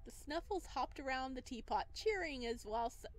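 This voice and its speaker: A woman reading a visual novel aloud and commenting on it in a gushing, excitable way. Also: high voice, normal speed. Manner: gushing, excitable over cute things. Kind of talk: dramatic read-aloud with excited playthrough commentary. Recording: background music behind voice.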